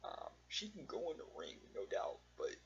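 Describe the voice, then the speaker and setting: A man recording a casual, rambling personal vlog, speaking earnestly and haltingly in short phrases with long pauses. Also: on the high side, very animated, creaky, fairly bright tone. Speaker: vlogger. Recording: dull lo-fi audio with faint hum.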